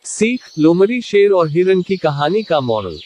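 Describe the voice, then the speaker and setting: Animated, fairly high-voiced man narrating. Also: unhurried, muffled recording, a little hiss or hum. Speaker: narrator of the moral. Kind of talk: scripted narration.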